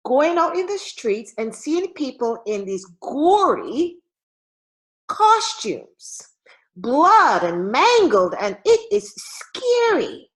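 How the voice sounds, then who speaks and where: A woman from Barbados, giving a formal, measured impromptu club speech with dramatic emphasis over a video call. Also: high voice, very animated, poor audio. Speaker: Toastmasters speaker.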